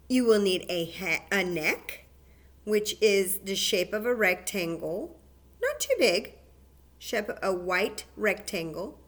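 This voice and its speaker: Slow, patient woman teaching kids a craft. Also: medium pitch. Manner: slow, patient step-by-step instructions to children. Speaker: library story time host. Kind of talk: children's craft demonstration.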